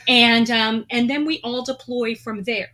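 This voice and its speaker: A middle-aged woman with a bright, crisp, somewhat high voice. She speaks candidly and reflectively about her own past, in an earnest conversational tone. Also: steady tone, measured pace. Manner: earnest, reflective, with light laughs. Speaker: guest, veteran. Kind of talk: candid personal storytelling.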